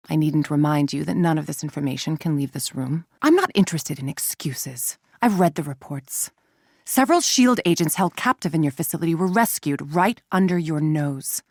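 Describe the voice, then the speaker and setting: A woman playing a cold, calculating villain scientist-executive in a video game, speaking in a measured, imperious, menacing way with a husky, creaky voice. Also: deep voice, darkish tone, dry recording, studio quality. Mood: stern, contemptuous. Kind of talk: scripted dramatic video game monologue.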